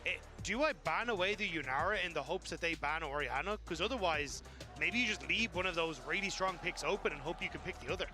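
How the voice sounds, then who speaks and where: A man's voice: a little high, quick pace. A man giving analytical color commentary on an esports match, thinking through options aloud. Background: music.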